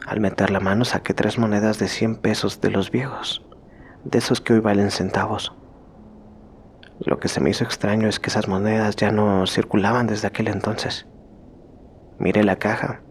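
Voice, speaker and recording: A man reading out a horror story in slow, hushed scripted narration that sometimes sinks to a whisper. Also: lowish voice, creaky, slight background noise.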